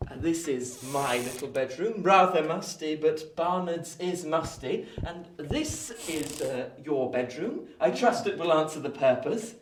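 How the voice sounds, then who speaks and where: An actor as an eager, polite young gentleman in a period radio drama. His voice is on the high side and very lively in pitch, with a touch of fluster. The room rings around him. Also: unhurried, few pauses, slight background noise. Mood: apologetic, eager. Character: genial, apologetic, well-bred young English gentleman. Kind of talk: radio play acting of a Victorian novel. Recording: echoey room.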